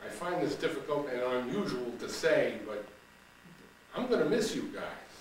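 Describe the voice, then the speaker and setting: A man's voice: mid-range voice, very animated, moderate pace, a little hiss or hum, close to an everyday voice. A man voicing Carmine, a cocky young man chasing show business, earnest in tone, recorded from a distance in an echoey room. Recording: roomy room, distant mic, faint ambience.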